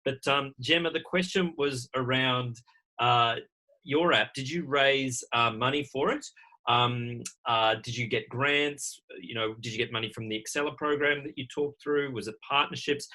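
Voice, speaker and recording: Male event host for online Q&A: strongly bright voice, courteous, informal delivery. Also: medium pitch, normal speed, low-quality recording. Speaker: event host reading audience questions. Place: online video call.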